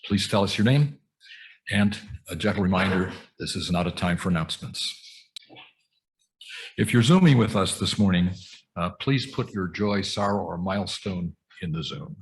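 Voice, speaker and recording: A man leading the sharing of joys and sorrows in a church service, talking gently and chuckling now and then. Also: sits low, moderate pace. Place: church sanctuary.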